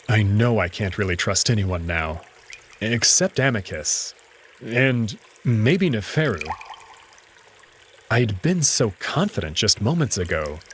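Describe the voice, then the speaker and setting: Expressive male story narrator, some noise. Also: fairly low pitch. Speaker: narrator reading a visual novel aloud. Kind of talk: first-person dramatic story narration.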